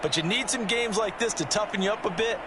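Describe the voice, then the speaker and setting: A man's voice: sits high, fast talker, noisy background, lightly acted. A man playing a folksy, opinionated football analyst, giving conversational color commentary in a video game.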